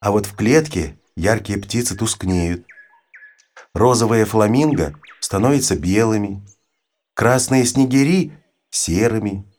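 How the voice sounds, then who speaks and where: A man with a husky voice reads an educational narration for children in an expressive, storytelling manner. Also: on the low side, measured pace. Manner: storytelling, poses questions to the listener. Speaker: audiobook narrator.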